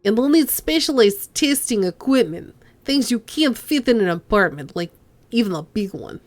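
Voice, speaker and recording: A woman reading the lines of a businesslike male corporate agent in a measured, explanatory way. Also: fairly high pitch, very animated, a little mellow, no echo.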